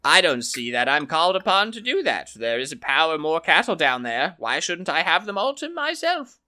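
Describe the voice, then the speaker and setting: A man's voice: fairly high pitch, sing-song, moderate pace, pushed and bright, voice pushed up, heavily acted. A man voicing a sly young farmer trickster, wheedling and boastful, in a raised voice.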